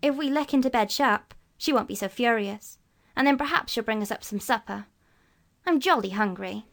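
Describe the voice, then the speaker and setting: A woman's voice: fairly high pitch, lively intonation, normal speed, creaky, a bit bright, voice pushed up. A woman voicing a jaunty, easygoing older boy with a teasing manner in a children's storybook.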